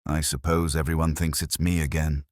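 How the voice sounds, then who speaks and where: A man voicing a weary, anxious female shop worker in a soft, hushed, hesitant way for a mystery story. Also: a bass voice, little pitch movement, normal speed, no echo, studio quality. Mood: weary, pleading.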